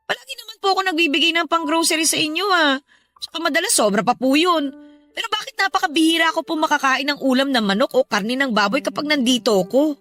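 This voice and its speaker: A young adult daughter with a high voice in a Tagalog radio drama. She is dutiful and respectful, yet weary and resentful under her load. Soft music runs underneath. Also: quick pace. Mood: hurt, reproachful. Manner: respectful but reproachful.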